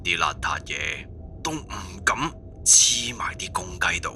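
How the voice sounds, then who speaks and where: Man acting deep, slow Cantonese folk exorcism adviser. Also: expressive, loud music behind the voice. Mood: solemn. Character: male forum poster solemnly giving folk exorcism advice.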